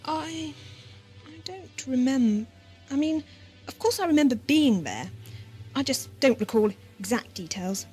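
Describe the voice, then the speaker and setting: A woman's voice: high voice, animated, measured pace, a little mellow. A woman playing a naive, devoted, childlike young woman in an audio drama, with a hesitant girlish manner. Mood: flustered. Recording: amateur 1980s audio drama with music.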